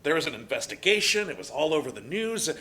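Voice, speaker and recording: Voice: mid-range voice, sing-song, fast talker, fairly bright tone. A performer playing a friendly, slightly awkward young man in a dramatic play reading. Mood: chatty, wistful. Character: William, friendly, awkward young male former coworker.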